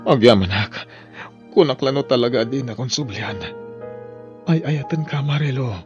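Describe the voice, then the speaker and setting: A dull-sounding recording of an ailing, repentant man in a radio drama, played by an actor. He is humble and grateful. His mid-pitched voice has music under it. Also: normal speed, a little mellow, natural voice. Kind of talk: sentimental radio drama acting.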